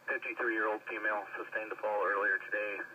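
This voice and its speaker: A man's voice: on the low side, fairly even intonation, fairly quick, pushed and bright, highs cut off. A man speaking in clipped emergency radio traffic, heard through a scanner speaker. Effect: police scanner radio. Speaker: emergency radio caller or dispatcher.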